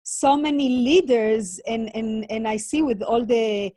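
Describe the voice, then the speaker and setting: A woman born in Latvia who grew up in Israel, hosting a spiritual conversation in a calm, measured way on a video call. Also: on the high side, no room sound. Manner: calm, measured, warm affirmations.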